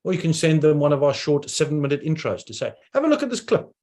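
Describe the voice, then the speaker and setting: A man with a South African accent giving a persuasive online presentation, talking in quick bursts with sudden slowdowns. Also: a little high, big pitch swings, a little mellow.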